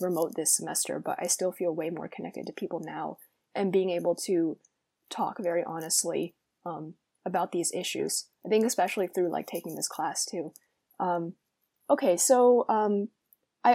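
A woman's voice: lowish voice, warm tone, slightly rough. A young woman in college co-hosting a student podcast, asking questions and reflecting in a thoughtful, hedging way. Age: young adult. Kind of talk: casual interview conversation.

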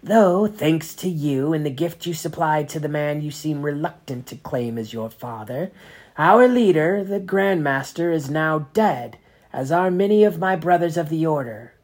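A parent reading aloud voices a cold, sneering male grand inquisitor with a raised, forceful delivery. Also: mid-range voice, a little slow, pitched above the performer's own voice. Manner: clipped, sarcastic, accusing.

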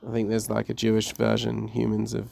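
Guy rambling on a podcast, gravelly voice, keeps restarting his sentences. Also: on the low side, monotone, a little fast, darkish tone. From Northwest London. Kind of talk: casual chat.

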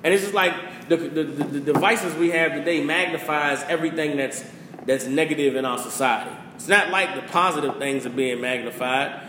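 A man's voice: fairly high pitch, moderate pace. Passionate cursing man in an echoey room. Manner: passionate, preachy, profane. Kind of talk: casual opinion rant. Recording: echoey room with faint hiss.

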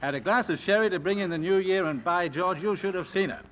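A man's voice: high voice, fast talker, highs cut off. An actor playing a jovial, folksy older family man who teases his wife in an old-time radio drama. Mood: teasing. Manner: teasing, folksy. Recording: old radio recording, loud crowd noise.

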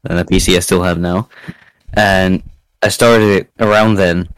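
Low-voiced young man chatting, dry close sound. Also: fairly even intonation, moderate pace, smooth, no echo, poor audio. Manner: self-deprecating. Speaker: podcast guest, YouTuber. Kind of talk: casual chat.

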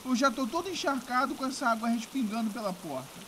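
A man's voice: very high voice, expressive, quick pace, bright, forceful tone, slight background noise, full character voice. A man with a Brazilian accent playing a pompous, complaining, dramatic nobleman in a period audio drama, with rain behind him. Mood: fussy, outraged. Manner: theatrical, complaining, haughty. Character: pompous, whiny, dramatic young nobleman cousin.

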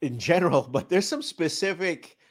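A man hosting a community radio and podcast show, introducing guests with energetic, emphatic delivery. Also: a little high, moderate pace.

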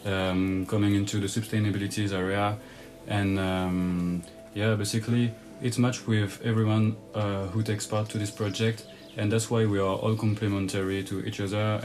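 Young french guy, fairly low voice, lots of ums. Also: darkish tone. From France. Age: young adult. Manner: halting, frequent "um". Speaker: university student. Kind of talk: casual self-introduction.

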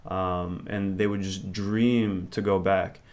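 Guy rambling thoughtfully in an interview, kinda low voice. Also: little pitch movement, takes it slowly, darkish tone. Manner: rambling and reflective, thinks aloud. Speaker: interview guest. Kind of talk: casual interview conversation.

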